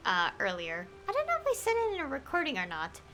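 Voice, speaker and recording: High, bright, animated woman streamer. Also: quick pace, a little hiss or hum. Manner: rambling, giggly, trailing off. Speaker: game streamer. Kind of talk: casual live gameplay commentary. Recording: faint game music behind. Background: game music.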